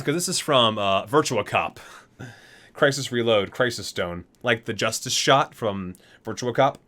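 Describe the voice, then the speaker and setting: A man reads aloud for an ASMR video in a medium-pitched voice that moves up and down a lot. He slips between scripted entries and chatty, geeky commentary. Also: fairly bright tone. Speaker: ASMR video creator reading game item descriptions. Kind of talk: ASMR reading aloud with casual asides.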